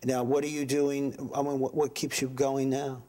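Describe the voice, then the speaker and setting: A man's voice: medium pitch, level tone, normal speed, crowd noise. A man chatting casually as an interviewer, with a playful, teasing manner.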